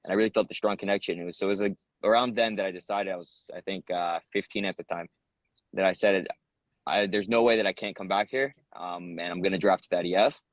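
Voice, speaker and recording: Fast-talking young American man, many ums. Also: sits low, no echo, muffled recording. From United States. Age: young adult. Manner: earnest, rambling, many ums and uhs. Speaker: interviewee, soldier. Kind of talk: casual interview answers.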